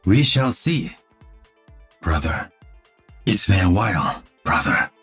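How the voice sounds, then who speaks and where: A cool, challenging young warrior for a video game, a brother facing his rival. The voice is low and extremely husky, with a processed edge, set over faint music. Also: very animated, slow, a bit bright, no echo, exaggerated voice. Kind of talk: video game hero voice lines.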